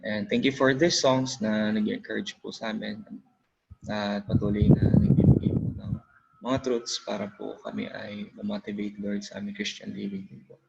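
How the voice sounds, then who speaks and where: A man's voice: lowish voice, little pitch movement, vocal fry, darkish tone. A man with a Filipino accent leading a reverent spoken prayer in a class on a video call. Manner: reverent, measured, uses polite "po". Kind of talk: spoken prayer mixing Tagalog and English. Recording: slightly dull with faint noise.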